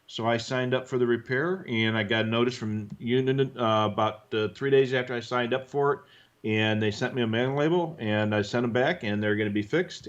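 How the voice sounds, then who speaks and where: A man co-hosting a live stream, explaining things in a conversational, halting way as he answers viewers. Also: medium pitch, lo-fi sound. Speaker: co-host answering viewer questions.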